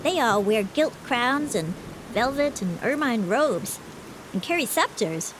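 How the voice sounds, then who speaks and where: A woman's voice: fairly high pitch, expressive, a little slow, background noise. A woman voicing a solemn, pale little girl in a storybook reading, speaking earnestly and precisely. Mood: explaining. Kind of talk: audiobook reading.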